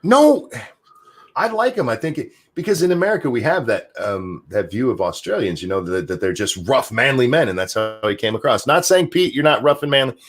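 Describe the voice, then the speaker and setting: A man hosting a podcast, chatting casually and reading news articles aloud with a jokey, animated delivery. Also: mid-range voice, brisk. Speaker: podcast host.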